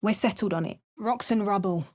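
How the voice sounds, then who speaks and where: A woman's voice: medium pitch, fairly quick, a little mellow, natural voice. A woman voicing a wry, resolute female adventurer in a video game, making dry understated remarks and narrating. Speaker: player character.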